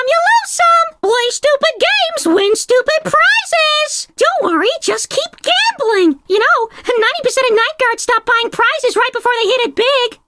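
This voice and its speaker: A woman voicing a cheeky, manic animatronic who taunts gamblers in a video game, shrill and bubbly. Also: very noisy, exaggerated voice. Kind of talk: video game character voice lines.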